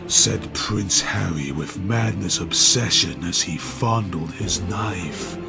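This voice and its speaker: A man narrating a scripted dramatic story in a deliberate, mock-serious manner. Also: low-pitched, creaky, music in the background.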